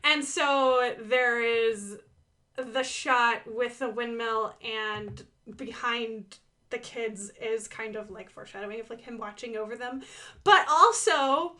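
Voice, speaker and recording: Bright, high-voiced woman in playful commentary. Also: slow pace. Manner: playful, with sing-song asides and dramatic emphasis. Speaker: animator explaining her own fan animation. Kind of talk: casual, enthusiastic video commentary.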